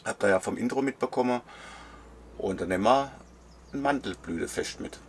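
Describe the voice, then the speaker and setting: A man's voice: medium pitch, brisk, slight background noise. A man in his fifties chatting casually inside a camper van and narrating a travel vlog. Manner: relaxed, chatty, agrees often. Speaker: travel vlogger. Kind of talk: casual chat and voice-over narration.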